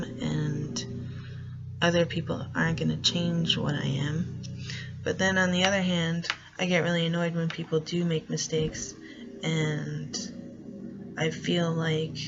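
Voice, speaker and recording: Young man with a soft feminine-sounding gravelly voice, slow. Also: a little high, dull audio. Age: young adult. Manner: reflective, hesitant, searching for words. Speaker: college student and grocery worker. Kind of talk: personal documentary monologue.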